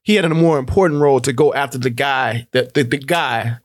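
A man's voice: medium pitch, fairly quick. A man on a film podcast panel in a casual debate, repeating phrases to press his point.

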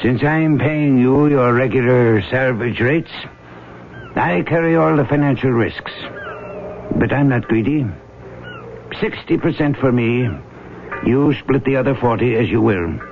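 An actor playing a shrewd, wealthy older expedition boss in an old-time radio drama, speaking in a measured, businesslike way. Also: male voice, medium pitch, close to an everyday voice. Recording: noisy, tinny old radio broadcast.

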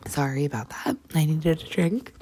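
A young woman in her twenties, hosting a podcast and telling her own story in a soft, halting way, with many fillers and restarts. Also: deep voice, lively intonation, husky. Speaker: podcast host. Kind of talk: personal storytelling monologue.

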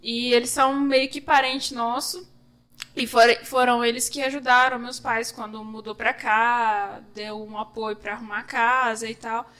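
A woman's voice: fairly high pitch, little pitch movement, measured pace, pushed and bright, smooth. A woman from São Paulo state with a Brazilian Portuguese accent, answering interview questions in a slightly hesitant way. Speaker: guest, music teacher. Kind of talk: casual interview.

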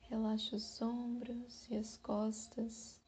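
A Brazilian woman guiding a meditation in a soothing, unhurried manner, with long pauses between short phrases. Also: a little high, completely flat delivery, mellow, husky. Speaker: meditation guide. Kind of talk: slow guided meditation.

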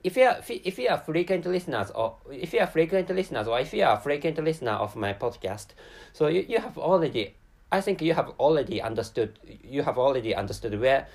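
A man from Japan with a Japanese accent, a native Japanese speaker, giving an unscripted podcast monologue in a very halting, rambling way with stammered repeats of words and syllables. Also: fairly high pitch, very animated, vocal fry, a little mellow. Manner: rambling and digressive. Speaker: podcast host.

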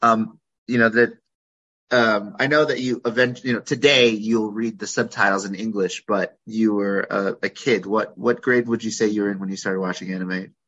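A man hosting a podcast interview in a conference room, chatty with many ums and pauses. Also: on the low side, steady tone, a bit bright. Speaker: podcast host. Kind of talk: casual interview. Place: conference room with poor acoustics. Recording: lo-fi, dull sound.

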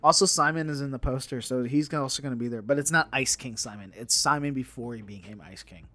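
A man's voice: mid-range voice, fast talker, sharp tone. Emphatic man in casual podcast chat. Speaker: podcast co-host. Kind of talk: casual nerd-news chat.

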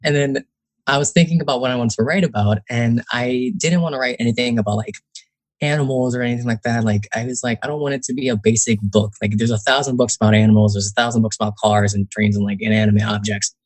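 A man's voice: mid-range voice, fairly even intonation, fast, no room sound. A young man from New Jersey, a guest author, chatting casually with many fillers and acted-out quotes. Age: young adult. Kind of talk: casual interview talk.

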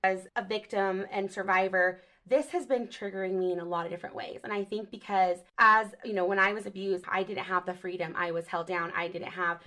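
A woman's voice: medium pitch, fairly even intonation, fairly quick, few pauses, pushed and bright. A woman hosting a podcast, talking earnestly and casually and affirming her guests often. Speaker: podcast host. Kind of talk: casual conversation.

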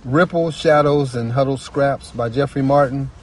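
A man's voice: mid-range voice, normal speed. A man speaking as a writer and storyteller in earnest, reflective personal talk, repeating phrases for emphasis.

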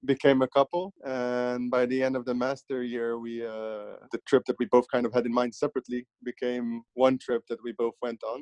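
A young man speaking as a guest in a relaxed interview. His pitch is mid-range, and he pauses often with "uh" while his sentences drift quieter. The recording is dry and close. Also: no room sound. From Netherlands. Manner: hesitant, many "uh" pauses, trailing off quietly. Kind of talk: casual conversational interview.

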